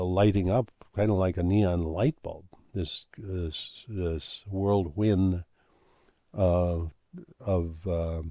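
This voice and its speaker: An older man talking in a rambling monologue, halting with many fillers and restarts, and losing his voice. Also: low voice, mellow, dry recording, dull audio. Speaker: speaker on ancient history and comets.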